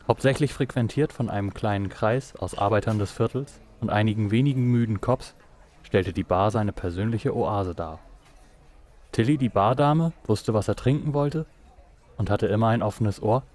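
A man's voice: on the low side, darkish tone. A man reading scripted narration for a noir audio drama.

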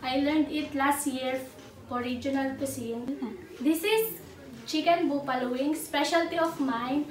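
Young woman, Filipino accent, high pitch, student presenter, rehearsed delivery, echoey room. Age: young adult. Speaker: student caterer. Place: indoors in a home room. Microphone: camera a few steps away. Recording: roomy, distant mic, faint background noise.

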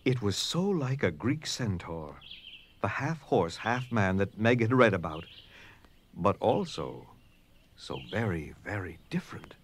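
A man narrating a dramatized story theatrically, with dramatic pauses and hushed emphasis. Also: fairly low pitch, sing-song, slight background noise. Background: music.

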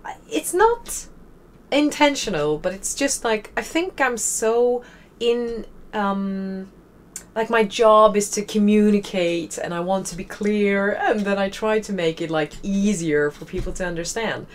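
A woman's voice: mid-range voice, unhurried. Chatty Swedish woman rambling over a game. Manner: chatty, rambling, wry asides. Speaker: let's play host. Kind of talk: casual gameplay commentary.

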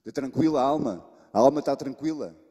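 A man performing slam poetry dramatically, repeating words and shifting between loud and hushed delivery. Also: mid-range voice, moderate pace, husky. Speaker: slam poet. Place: live stage in a reverberant hall. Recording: reverberant hall, live stage.

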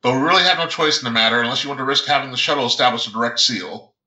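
A calm, commanding military officer from a space opera audiobook, read by a man. He is terse and wry, with a sharp, bright voice in an echoing room. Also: mid-range voice. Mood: resigned. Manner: measured, terse. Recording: roomy, echoing sound.

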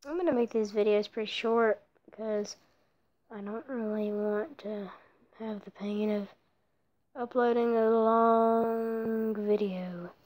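A woman giving casual commentary over her own mobile block-building gameplay, rambling and often dropping into soft whispered asides, recorded on her phone. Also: on the high side, steady tone, slow pace. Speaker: let's play creator.

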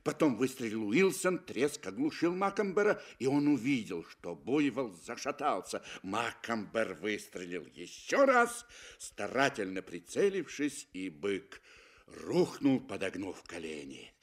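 A man reading literary narration for a 1960s radio play, with expressive, emphatic phrasing. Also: fairly low pitch, a little slow. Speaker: audio drama narrator. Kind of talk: dramatic literary narration, 1960 Soviet radio. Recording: 1960 Soviet radio recording.

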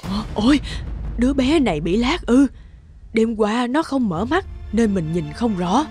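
An actress voicing a timid, curious and secretly greedy middle-aged nursemaid in a cartoon fairy tale, hesitant and muttering to herself. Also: female voice, on the high side, expressive, measured pace, a little mellow. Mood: puzzled, curious. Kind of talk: animated fairy-tale dubbing. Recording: background music under the voice.

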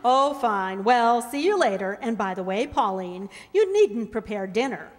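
Wry, breezy female star acted by a woman, highish lilting voice, dry punchlines, radio comedy in an echoey hall. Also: animated, a little slow. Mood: incredulous, breezy. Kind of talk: old-time radio comedy acting. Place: stage in a large hall. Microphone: stand microphone. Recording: echoey hall stage performance.

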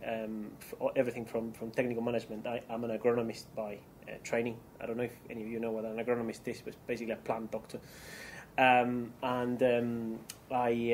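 A man's voice: medium pitch, slight background noise. A man from Chile with a Chilean accent, giving a keynote business presentation with frequent ums and restarts. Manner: hesitant, many ums and restarts. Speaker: keynote speaker.

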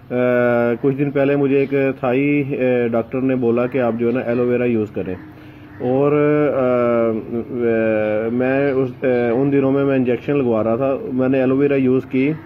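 A man's voice: medium pitch, narrow, tinny audio, a little hiss or hum. Hesitant man filming his plants. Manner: hesitant, many filler sounds and pauses. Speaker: gardening channel host. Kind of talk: casual home gardening vlog. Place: outdoors among potted plants.

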